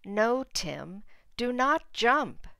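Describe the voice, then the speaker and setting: A woman voicing an exasperated storybook mom scolding her young son with emphatic, drawn-out commands. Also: fairly high pitch, sing-song, creaky, a bit bright, no echo, studio quality, pitched above the performer's own voice, exaggerated voice.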